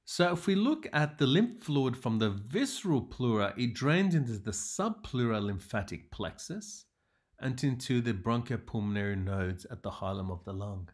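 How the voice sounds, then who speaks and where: A man lecturing in a measured, explanatory way, narrating slides as a medical teacher with emphatic sentence openings. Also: medium pitch, lively intonation.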